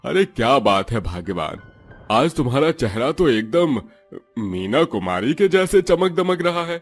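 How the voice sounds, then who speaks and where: A middle-aged village husband, played by a man in a cartoon comedy tale. His medium-pitched voice is jovial and teasing, with impatient exclamations. Soft music sits behind him. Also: big pitch swings, a little slow. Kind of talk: animated comic story voice acting.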